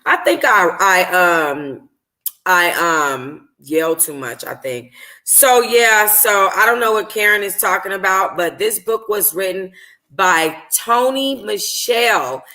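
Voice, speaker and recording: Bright-voiced, tired woman reading aloud. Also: medium pitch, lively intonation, takes it slowly. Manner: informal, conversational. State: tired, slightly hoarse. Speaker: book club host reading a novel aloud. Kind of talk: expressive audiobook-style reading and casual livestream chat.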